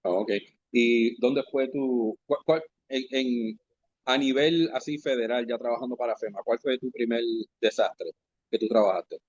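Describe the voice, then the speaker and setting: A man hosting a podcast interview, asking questions in a halting, conversational way. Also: medium pitch, darkish tone, no echo. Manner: conversational, halting, full of fillers and restarts. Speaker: podcast host. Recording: dry, slightly dull podcast audio.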